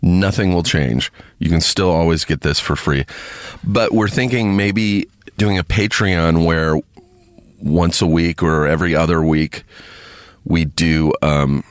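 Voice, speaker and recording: A man hosting a podcast alone, chatting casually to his listeners in a halting, rambling way with lots of fillers, a bit sniffly from congestion. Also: low voice, dry recording, clean studio sound. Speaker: podcast host. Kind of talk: casual solo chat to listeners.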